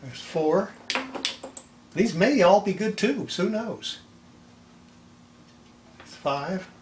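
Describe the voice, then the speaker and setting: Older male hobbyist, slightly high voice, folksy unscripted demo delivery, roomy sound with some clatter. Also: lively intonation, extremely slow. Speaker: hobbyist restorer presenting his repair video. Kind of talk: casual unscripted workbench demonstration. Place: indoor home electronics workshop. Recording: roomy echo, clattering tool and handling noise.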